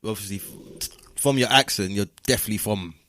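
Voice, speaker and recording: A man's voice: on the low side, quick pace, fairly bright tone, no room sound. A man hosting a breakfast show interview, chatting informally with plenty of street slang. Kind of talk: casual urban chat interview.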